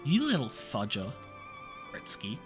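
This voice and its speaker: A man's voice: medium pitch, wildly swooping pitch, a little slow, music in the background. A man live streaming a video game, talking casually and jokily in slang with playful mock outrage. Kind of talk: casual chatty game commentary.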